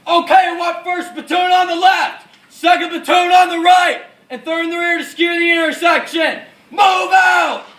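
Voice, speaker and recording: A man's voice: very high-pitched, brisk, sharp tone. A man playing an American Second World War paratrooper officer, recalling battle in an earnest, declamatory stage monologue. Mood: urgent, shouting. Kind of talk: rehearsed dramatic war monologue. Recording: distant echoey room, faint noise.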